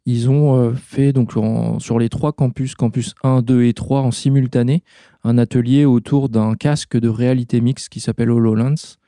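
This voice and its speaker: A man organizing a science festival, answering interview questions in a measured, explanatory way with frequent restarts. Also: mid-range voice, steady tone, warm tone, no echo, clean studio sound.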